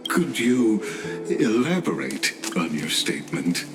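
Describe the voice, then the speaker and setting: An actor playing a courtly, sly older official in a video game, with formal, faintly mocking, genteel delivery. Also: male voice, medium pitch, huge pitch swings, slow pace. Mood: suave curiosity. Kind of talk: theatrical video game dialogue acting. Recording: music behind the voice.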